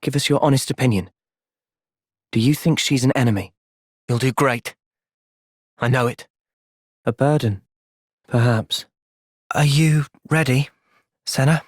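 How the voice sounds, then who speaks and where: An earnest young British soldier from a video game cutscene, played by a male actor. He speaks at a middle pitch in a sincere, measured way, gentle yet resolute, and is recorded very dry and close. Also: no echo, close to an everyday voice. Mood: calm, earnest.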